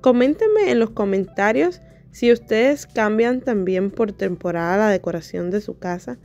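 A young adult woman narrating her home decor vlog in a chatty, relaxed way. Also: medium pitch, moderate pace, dull audio. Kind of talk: casual voice-over vlog narration. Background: soft background music.

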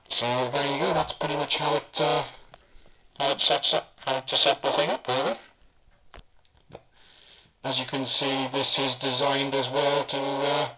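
A man's voice: medium pitch, bright, forceful tone, a little hiss or hum, low-quality recording. A man giving a casual, hesitant demonstration of homemade electronics, filmed on a handheld camera indoors. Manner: hesitant, many ums, talking while handling gear. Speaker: hobbyist prop maker. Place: indoor room with a wooden floor. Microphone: handheld camera mic.

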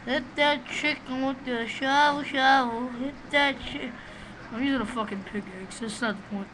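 A man with a small, high voice giving rambling, profane, excitable commentary while playing a game, recorded on a webcam microphone. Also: normal speed. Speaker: gamer doing a let's play. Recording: noisy home recording.

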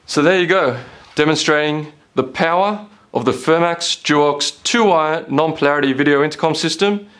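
A man's voice: fairly high pitch, moderate pace, few pauses, fairly bright tone. A man giving an instructional product demonstration as a company presenter. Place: small indoor meeting room. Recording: clearly noisy room recording.

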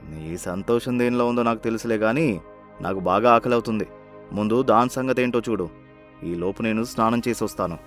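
A man playing a wry, mildly sarcastic working husband in an animated moral story, speaking in a dry, knowing way. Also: fairly low pitch, moderate pace, soft background music, natural voice. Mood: wry, skeptical.

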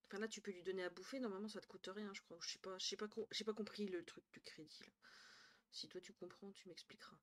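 A tired young woman from northern France chatting casually with her stream viewers, thinking aloud, swearing now and then and dropping to quiet murmurs, recorded on a headset at home. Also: mid-range voice, fairly even intonation, quick pace. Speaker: Twitch streamer. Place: small room at home, indoors.

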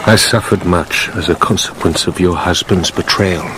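A man's voice: low-pitched, moderate pace, background noise, close to an everyday voice. A man acting in a radio drama as a grave, courteous count, restrained and formal. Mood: grave, subdued.

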